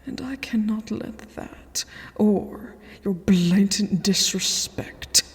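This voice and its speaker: A woman's voice: medium pitch, lightly acted. A woman playing a cold, stern vampire queen in a fantasy ASMR roleplay, regal and commanding. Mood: cold, menacing. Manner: slow, commanding, breathy whispered delivery. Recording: long hall reverb, music underneath.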